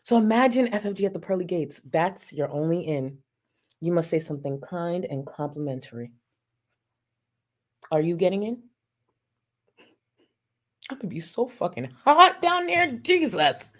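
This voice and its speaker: A woman's voice: fairly low pitch, lively intonation, normal speed, long pauses, a bit bright. A woman streaming live, reacting to a video and reading out super chats with sassy, profane, mocking commentary and frequent laughter. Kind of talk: casual livestream commentary.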